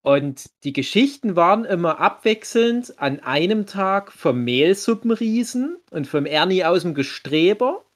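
A man's voice: high-pitched, expressive, unhurried, hardly any pauses. A man in his thirties with a German accent, reminiscing in a casual, reflective and explanatory way. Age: 30s.

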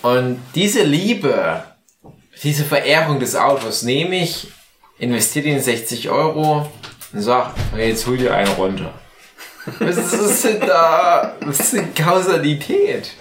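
A man's voice: on the high side, very animated, unhurried, fairly bright tone, a little hiss or hum. A man with a German accent chatting casually and jokingly as a podcast host, rambling a little tipsily. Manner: rambling, joking, mock-hypothetical. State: drunk. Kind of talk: casual comedic podcast banter. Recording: echoey room.

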